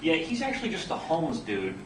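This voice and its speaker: Flustered young sitcom doctor, boyish and highly animated, recorded far off in a roomy space. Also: male voice, quick pace. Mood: cocky. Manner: animated, stammering, earnest comic delivery. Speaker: actor at a comedy series table read. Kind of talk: sitcom table read. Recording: echoey room from far off, noisy.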